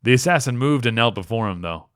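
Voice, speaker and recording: Expressive man narrating fantasy, very animated pitch. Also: fast talker, clean tone, no room sound, studio quality. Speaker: amateur reader, a fan. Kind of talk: dramatic fantasy audiobook narration.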